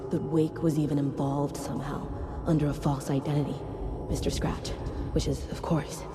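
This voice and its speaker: A low female voice from an actress plays a knowing teller of dark legends in a video game. She is measured, flat and ominous. Long reverb surrounds her. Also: natural voice. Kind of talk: scripted game drama exposition. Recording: long reverb under loud music.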